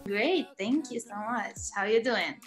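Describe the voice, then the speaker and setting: A young woman from Israel, Hebrew her first language, speaking English with an Israeli accent, answering interview questions softly with many ums over a video call from her living room. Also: on the high side, lively intonation, unhurried, roomy sound. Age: young adult. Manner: soft-spoken, with frequent ums and laughs. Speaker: guest singer.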